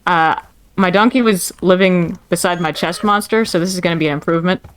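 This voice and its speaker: A woman's voice: lowish voice, a little fast. A woman chatting casually and eagerly as a guest player in a game. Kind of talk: casual chat during a game.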